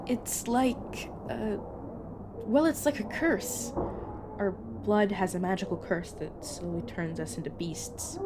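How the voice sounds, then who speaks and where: A woman's voice: medium pitch, slow pace, lightly acted. A woman playing a weary, apologetic supernatural agent in an audio roleplay, explaining things patiently with hesitant, casual asides. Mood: explaining, earnest. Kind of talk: narrative audio roleplay acting, addressed to listener. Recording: clearly noisy, background ambience effects.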